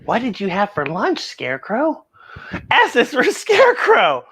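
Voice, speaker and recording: Very animated woman hosting kids' story time. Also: a little high, a little slow, husky. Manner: animated, exaggerated exclamations, asks listeners questions; draws out words for emphasis. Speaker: children's story time host. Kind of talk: playful read-aloud and chat to young children.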